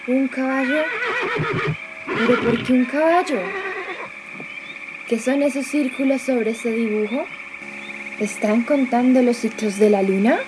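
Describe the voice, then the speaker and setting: A woman playing an awed explorer in a student radio drama, narrating discoveries with wondering questions and exclamations. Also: high voice. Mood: curious, puzzled. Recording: noisy amateur recording.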